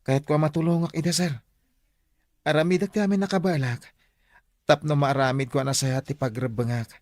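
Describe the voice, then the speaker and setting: A man's voice: a little high, steady tone, fairly quick, darkish tone, no echo, natural voice. An actor playing an earnest, polite young man about to become a teacher in a radio drama. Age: young adult. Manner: eager, emotional, polite.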